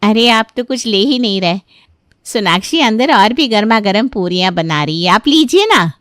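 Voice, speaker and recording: A woman's voice: medium pitch, expressive, moderate pace, fairly bright tone, no room sound, studio quality, close to an everyday voice. A woman playing a fretful, chatty middle-aged auntie in a cartoon story. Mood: persuasive.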